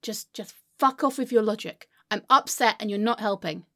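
A woman's voice: medium pitch, moderate pace, bright, forceful tone, lightly acted. A woman playing a melodramatic, irrational, foul-mouthed character in a comedic monologue, histrionic and swinging between sulky muttering and wailing. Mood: stubbornly indignant. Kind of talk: comedic monologue to an unheard friend.

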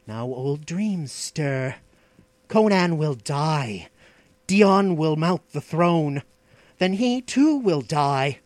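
A man's voice: fairly high pitch, expressive, extremely slow, darkish tone, no echo, pitched above the performer's own voice. A man voicing an ambitious, scheming outlaw in a fantasy audiobook, with an imperious, mocking, declaiming delivery. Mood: grandiose, gloating. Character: ambitious, scheming, arrogant male outlaw plotter, impatient master. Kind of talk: dramatic fantasy audiobook dialogue.

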